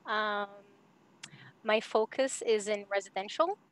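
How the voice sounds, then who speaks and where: A woman's voice: medium pitch, takes it slowly. A woman in her thirties, originally from Brazil, speaking as a panelist on a video call, with many fillers. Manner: hesitant, many fillers, laughs easily. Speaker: panelist, architect. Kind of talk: casual panel talk. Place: home.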